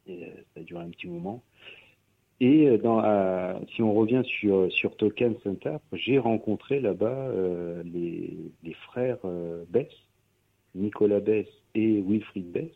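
A man's voice: lowish voice, unhurried. A man who is a guest musician, talking casually in a radio interview, hesitant with many fillers and restarts. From Pyrénées-Orientales, France. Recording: tinny phone-like radio line.

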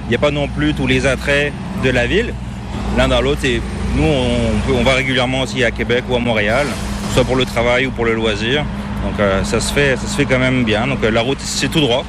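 Fast-talking French man with a Quebec tinge. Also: mid-range voice. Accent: French with Quebec touches. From Brittany, France. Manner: relaxed, chatty, many fillers. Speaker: interviewee, French immigrant living in Gaspésie. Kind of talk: casual conversation in a car. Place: inside a moving car on a coastal road. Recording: road and engine noise. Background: car noise.

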